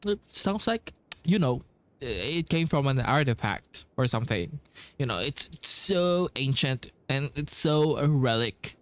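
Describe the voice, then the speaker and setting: Slow, animated male game streamer. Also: medium pitch. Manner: halting, thinking aloud. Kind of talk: casual playthrough commentary. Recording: game music behind voice. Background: video game music.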